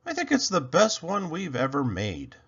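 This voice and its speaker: A man's voice: on the high side, wildly swooping pitch, moderate pace, a bit bright, breathy edge, dull audio, pitched above the performer's own voice, full character voice. A man voicing a tiny, tired, earnest Christmas elf in a storybook, anxiously wondering aloud to himself. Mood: proud.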